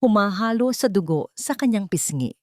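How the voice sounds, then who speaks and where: A woman audiobook narrator reading a dramatic scripted story in a solemn, measured storytelling manner. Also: medium pitch, expressive, no echo, studio quality.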